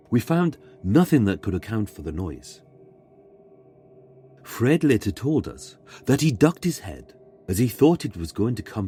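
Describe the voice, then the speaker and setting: Low, mellow male storyteller, dramatically paced. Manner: dramatic, measured storytelling with pauses for suspense. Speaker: guest narrator reading a submitted ghost story. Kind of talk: scripted narration of a true ghost story.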